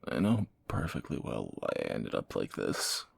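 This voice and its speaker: A man playing a groggy fantasy assassin in an intimate romantic audio roleplay, husky and halting, with wry understatement. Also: rumbling low, huge pitch swings, exaggerated voice. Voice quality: gravelly, husky morning voice. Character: groggy male fantasy assassin, softening captor, flustered and teasing.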